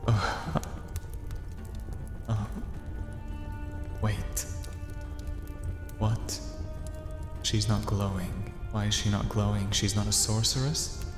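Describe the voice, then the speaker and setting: A man's voice: on the low side, steady tone, extremely slow, long pauses. A man playing a fearful, loyal servant creature in an audio drama, hesitant and wary, with echoing reverb and music. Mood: bewildered.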